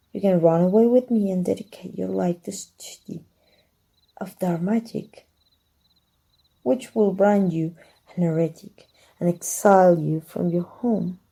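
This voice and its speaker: A secretive, cunning teacher of dark magic, played by a woman in an ASMR roleplay, soft and measured and slipping into whispers. Her voice is dark and husky, with a gravelly fry at the ends of phrases. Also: medium pitch. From Mexico. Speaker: voice actor.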